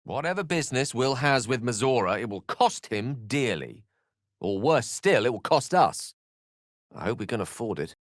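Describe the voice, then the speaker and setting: An actor playing an eloquent, theatrical wizard in a fantasy video game, learned, courtly and wry, with articulate, bookish phrasing. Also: male voice, mid-range voice, very animated, normal speed, no echo, clean studio sound, lightly acted. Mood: earnest.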